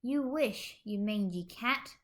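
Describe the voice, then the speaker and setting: A woman voicing a flustered, feisty teenage girl who stammers and squeaks in a fan fiction reading. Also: expressive, slow pace, fairly bright tone. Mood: annoyed. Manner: stammering, squeaky when startled.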